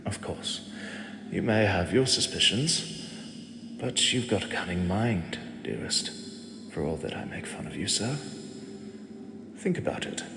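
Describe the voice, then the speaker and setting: A man voicing an ancient male god who speaks softly and slowly, fond and gently teasing, as if to a mortal he protects. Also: on the low side, animated, heavy background noise. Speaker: voice actor. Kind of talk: audio drama monologue. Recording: echoey with long reverb and clear ambience.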